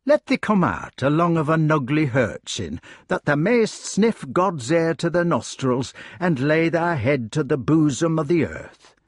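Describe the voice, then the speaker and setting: A man's voice: fairly high pitch, animated, a little slow, hardly any pauses, no echo, clean studio sound, pitched above the performer's own voice. A man voicing a small, indignant, vulgar hedgehog in a storybook, with a rustic English country dialect and a truculent, folksy, sarcastic manner. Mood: gruffly tender. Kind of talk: audiobook character voice.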